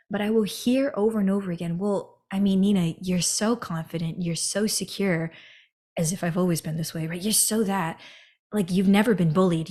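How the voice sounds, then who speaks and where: A woman hosting a podcast, telling her own story in an earnest monologue and often turning to the listener with a quick "right?". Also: mid-range voice, warm tone. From United States. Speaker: podcast host.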